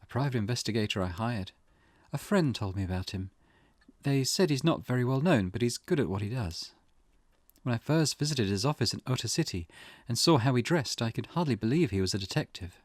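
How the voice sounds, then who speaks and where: A man voicing an aloof, withdrawn wealthy housewife in a visual novel, measured and matter-of-fact. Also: mid-range voice, lively intonation, no room sound, clean studio sound, close to an everyday voice.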